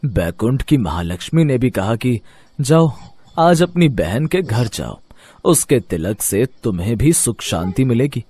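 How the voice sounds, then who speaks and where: A man voicing a benevolent, affectionate god and loving brother, with stately, formal speech, in an animated mythological story. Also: medium pitch, unhurried, breathy edge.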